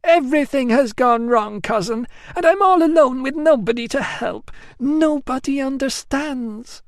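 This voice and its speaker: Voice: high-pitched, unhurried, dry recording, studio quality, voice pushed up. A narrator voicing a self-pitying young Roman woman who pleads and complains in tearful bursts. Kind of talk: audiobook acting.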